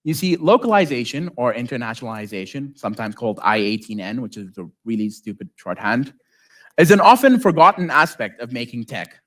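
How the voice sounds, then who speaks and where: A man who is a native Arabic speaker giving an informal conference talk as a software developer, with joking asides and check-ins to the audience. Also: medium pitch, lively intonation, a little fast. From lives in South Africa.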